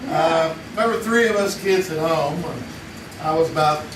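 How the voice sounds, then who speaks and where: A man plays an easygoing middle-aged friend, chatty and quick to react. His voice sits a little high. It was recorded live in a roomy theater from a distance, under loud room noise. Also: close to an everyday voice. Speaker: campfire friend in a radio play. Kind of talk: live old-time radio play acting.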